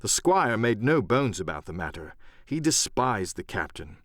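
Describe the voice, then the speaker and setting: A man narrating an adventure novel as dramatic, scripted storytelling in a measured literary style. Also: on the low side, big pitch swings, a bit bright, dry recording, clean studio sound. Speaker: audiobook narrator and voice actor.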